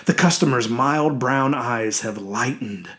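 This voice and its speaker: A man reading a horror story as dramatic, scripted narration, deliberate and suspenseful, dwelling on his words. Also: mid-range voice, fairly bright tone.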